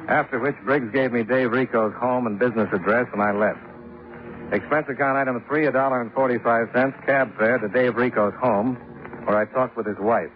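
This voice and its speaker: A man playing a brisk, level-headed investigator in a 1950s radio detective drama, clipped and matter-of-fact. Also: medium pitch, lightly acted. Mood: dry narrating. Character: brisk, level-headed male private insurance investigator narrating his case. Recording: narrow-band 1950s radio recording, music behind.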